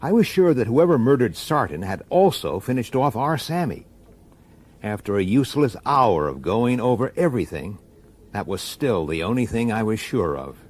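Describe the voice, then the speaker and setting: A man's voice: medium pitch, sing-song, moderate pace, darkish tone. A man acting in a radio drama as a weary, dogged police detective who narrates his own case with an urgent, exasperated manner. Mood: weary, determined. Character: weary, dogged human police detective, sharp-tempered and intense. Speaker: detective and first-person narrator.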